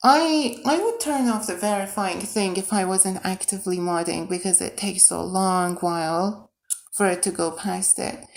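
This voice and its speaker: A woman's voice: medium pitch, gravelly. A woman from Iran, a native Persian speaker with a Persian accent, chatting casually to viewers and thinking aloud as she codes on a livestream. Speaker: livestreamer and game modder. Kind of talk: casual livestream chat while coding.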